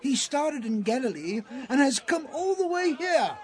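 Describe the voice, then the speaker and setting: A man putting on a hostile, jeering accuser who shouts and mocks. The voice is squeezed very high and swings wildly up and down. The speech comes out slow and drawn out in a dramatized Bible reading. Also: very animated, full character voice. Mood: accusing. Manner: shrill, shouted and mocking. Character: jeering male accusers and scoffing criminal, hostile.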